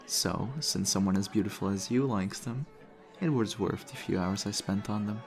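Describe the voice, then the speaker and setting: A man's voice: lowish voice, moderate pace, natural voice. A man playing a charming, teasing vampire host in an intimate, conversational roleplay style. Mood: modest, courteous. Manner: flirtatious, suave, conversational. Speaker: audio roleplay voice actor. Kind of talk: intimate ASMR roleplay acting. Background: background music.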